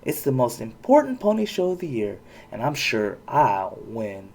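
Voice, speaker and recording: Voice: mid-range voice, big pitch swings, moderate pace, gravelly, darkish tone, slight background noise. A reader voicing a snooty, jealous, boastful female show pony from a children's storybook. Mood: sneering, boastful. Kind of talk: children's storybook read-aloud.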